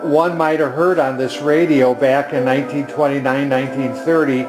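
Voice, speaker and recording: A man's voice: mid-range voice, fairly even intonation, normal speed. A man giving a casual, unscripted demonstration in a plainspoken, hesitant manner. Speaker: vintage radio restorer and demonstrator. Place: indoors at home. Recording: roomy home recording with music behind.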